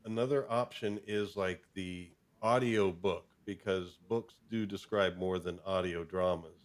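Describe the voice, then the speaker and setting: A man's voice: low-pitched, fairly even intonation, unhurried. A man hosting a casual talk show, chatting in a rambling way as he thinks aloud. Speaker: host of a fan fiction show. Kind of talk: casual chat.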